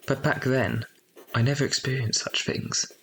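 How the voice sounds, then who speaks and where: Reflective male narrator played by a man, mid-pitched, measured, faint background noise, audio drama memoir. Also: steady tone, a bit bright, close to an everyday voice, low-quality recording. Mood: wistful. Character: reflective grown man recalling his orphan childhood. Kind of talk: scripted first-person narration.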